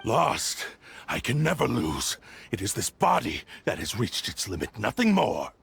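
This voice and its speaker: An actor voicing an arrogant, menacing cursed blade possessing a man's body, speaking haughtily and declaiming threats in a gravelly voice. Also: fairly low pitch, huge pitch swings, measured pace, fairly bright tone, soft background music, full character voice. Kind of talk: game voice acting. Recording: game soundtrack under voice.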